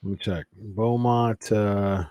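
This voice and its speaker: A man's voice: on the low side, fairly even intonation, slow pace, mellow, dry recording, studio quality. A man hosting a live watch-party stream, casually chatting and reading viewer comments aloud with lots of fillers. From Ohio, USA.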